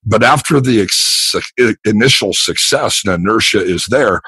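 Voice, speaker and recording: Um homem de meia-idade com voz grave e gutural, falando de modo calmo e constante como convidado de podcast. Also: male voice, normal speed, slightly rough, dry recording. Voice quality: deep, throaty voice. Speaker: guest, psychotherapist and business consultant. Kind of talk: podcast interview answers.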